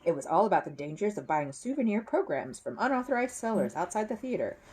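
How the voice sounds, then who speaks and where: A woman narrating a children's story with expressive, dramatic emphasis. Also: mid-range voice, fast, slightly rough. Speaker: storybook reader. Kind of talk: animated children's story narration with character voices.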